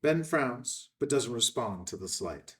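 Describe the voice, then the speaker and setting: A man's voice: mid-range voice, lively intonation, a little slow, fairly bright tone, far from the mic. A man narrating scene headings and stage directions from a screenplay in a scripted read.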